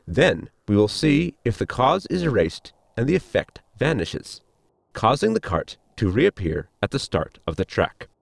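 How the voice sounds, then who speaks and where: Man playing a formal, swooping, creaky sci-fi crewman. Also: medium pitch, big pitch swings, dry recording, exaggerated voice. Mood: dry, matter-of-fact. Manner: formal, measured, explanatory. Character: polite, scientifically minded spaceship crewman, earnest and precise. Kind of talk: science fiction comedy audio drama acting.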